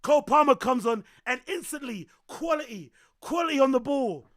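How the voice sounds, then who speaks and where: A man reacting live to a football match, excitable and yelling and swearing at the screen. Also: extremely high pitch, moderate pace. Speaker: streamer host.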